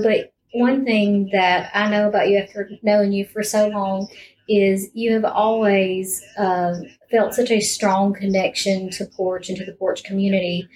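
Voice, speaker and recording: A woman's voice: medium pitch, low-quality recording. A woman hosting a conversational interview. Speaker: podcast host, interviewer.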